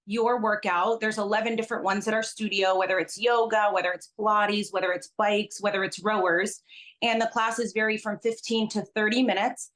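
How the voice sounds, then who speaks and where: A woman born in Belgium talks through her business on a video call. Her voice sits mid-range for a woman, and she explains things in an earnest, steady way. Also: mid-range voice. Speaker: business owner guest. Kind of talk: casual virtual interview.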